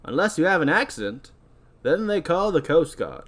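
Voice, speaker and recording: Male reader as seasoned old boat captain, mocking and wry, animated delivery for a script read-through. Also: a little high, normal speed. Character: older male ferry captain, gruff and mocking.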